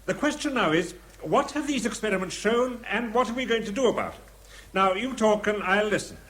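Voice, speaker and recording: A man's voice: sits high, lively intonation, a little fast, fairly bright tone. An actor playing a courteous, procedural committee chairman in a radio drama, polite and formal. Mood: businesslike.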